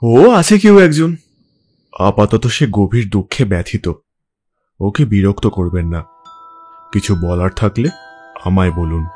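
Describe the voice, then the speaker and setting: Actor as a composed man with lowish voice. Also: animated, takes it slowly, long pauses, darkish tone, husky, soft background music, exaggerated voice. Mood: calm, firm. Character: principled, calm, protective man defending outcasts. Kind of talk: Bengali audio story acting.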